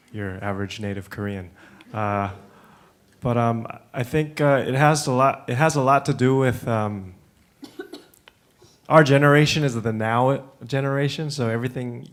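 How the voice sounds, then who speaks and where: A man who grew up in the United States, speaking on a conference panel in a relaxed way with many fillers and restarts. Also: medium pitch, moderate pace. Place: conference room.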